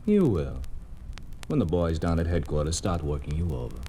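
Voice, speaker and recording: A man's voice: a bass voice, big pitch swings, normal speed, loud music behind the voice. An actor playing a smug, curt secret policeman for a totalitarian regime in a 1950s radio drama. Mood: smug menace. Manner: curt, sardonic, tough. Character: hard-boiled male secret policeman, smug regime enforcer. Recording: vintage 1950s radio recording.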